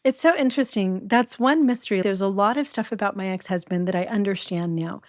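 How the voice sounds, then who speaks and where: A woman's voice: medium pitch, brisk, dry recording, clean studio sound. A middle-aged woman, a podcast guest, candidly telling her own story in a conversational interview. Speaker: guest, domestic violence survivor.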